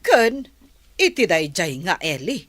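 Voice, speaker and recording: A woman's voice: on the low side, big pitch swings, unhurried. A woman playing an indignant, proud mother of a poor farming family in a radio drama. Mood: indignant, worried.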